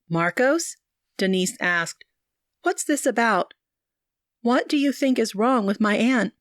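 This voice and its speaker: A woman voicing an anxious, warm young woman who speaks high and eager. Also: animated, takes it slowly, no echo, clean studio sound.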